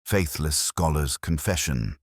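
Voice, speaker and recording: A man reading a scripted first-person mystery story in a measured, solemn, literary manner. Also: very deep voice, lively intonation, no echo, studio quality. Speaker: narrator.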